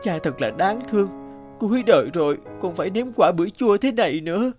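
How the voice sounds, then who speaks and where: A man's voice: high voice, animated, brisk, darkish tone. A man voicing a humble, honest youngest brother in a fairy-tale cartoon, meek and plaintive. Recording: music behind the voice.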